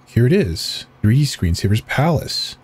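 A man giving a slow, deliberate dramatic reading of forum posts and web pages in an ominous tone. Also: medium pitch, sing-song, a little mellow, slight background noise. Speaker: channel host reading horror texts.

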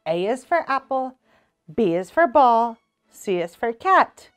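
Woman as a high, singsong cheerful schoolteacher. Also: big pitch swings, takes it slowly, clean tone, faint music behind. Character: cheerful schoolteacher greeting and instructing small pupils.

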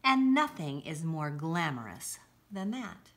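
Slow, very expressive woman reading aloud. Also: sits low. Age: middle-aged. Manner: expressive, deliberate storytelling with emphasis. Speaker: school read-aloud presenter. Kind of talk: children's picture book read-aloud. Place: indoors, home-like room with armchairs.

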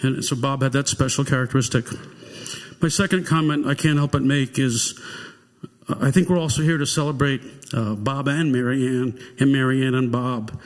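A man's voice: mid-range voice, steady tone, normal speed. Older man, earnest memorial tribute, emphatic phrasing, lectern mic, long church reverb. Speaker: eulogy speaker. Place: church sanctuary, indoors. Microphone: gooseneck lectern microphone. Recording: reverberant hall.

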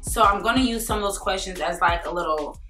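A young woman with a raspy voice, chatting casually and sassily to camera in her living room. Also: medium pitch, brisk, bright, forceful tone, echoey room, faint music behind. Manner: chatty, sassy, rambling. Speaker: YouTuber. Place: living room with a Christmas tree.

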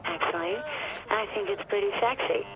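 A woman's voice: medium pitch, moderate pace, very noisy. A woman voicing a mocking young girlfriend of a gangster, teasing over a phone call. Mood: taunting. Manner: teasing, sing-song taunting.

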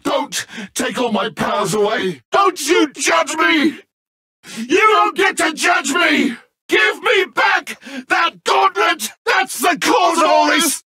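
Casting: petulant British godlike game villain, sarcastic and theatrical, hugely swooping pitch, slow deliberate delivery. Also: male voice, gravelly, bright, forceful tone, no room sound, studio quality, exaggerated voice. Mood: enraged. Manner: theatrical, sarcastic, exclaiming and stammering. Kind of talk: video game character acting.

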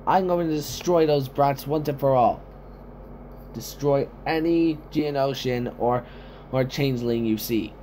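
A man voicing an excitable soldier commander in amateur sci-fi video-game voice lines, giving urgent, halting battle callouts. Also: medium pitch, a little hiss or hum, natural voice. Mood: urgent, commanding.

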